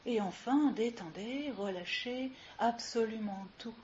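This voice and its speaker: A woman leading a guided yoga relaxation as instructor, giving soothing, unhurried instructions with long pauses, recorded live. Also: fairly high pitch, darkish tone. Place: live session with ambient sound. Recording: muffled live recording with clear hiss.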